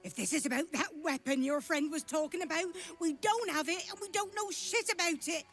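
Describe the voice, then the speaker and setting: A woman's voice: very high voice, fairly quick, fairly bright tone, soft background music. A woman acting a defensive, coarse-tongued captive in a video game. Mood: anxious, defiant. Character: defensive, foul-mouthed captive.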